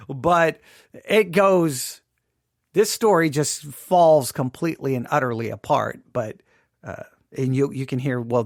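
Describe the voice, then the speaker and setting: A man's voice: on the high side, fairly bright tone, no echo, studio quality. A man hosting a podcast, talking informally about personal matters in an emphatic way, often repeating phrases for emphasis. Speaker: podcast host. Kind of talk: informal talk and personal commentary.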